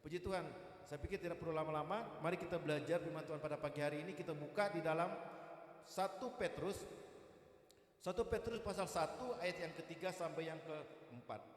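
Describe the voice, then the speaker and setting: A man's voice: fairly high pitch, a little fast. A man, a guest evangelist speaking to a church in a chatty, jocular sermon style. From East Kalimantan, Indonesia. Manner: chatty and jocular, with repeated "gitu" tags. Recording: echoey hall, long reverb.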